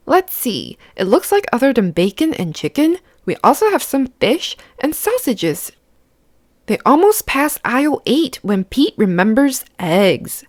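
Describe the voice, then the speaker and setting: Expressive, unhurried woman reading to children. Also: fairly high pitch, no echo, clean studio sound. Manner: expressive, simple, child-directed explaining. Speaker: children's read-aloud host. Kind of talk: picture book read-aloud to children, with questions to the listener.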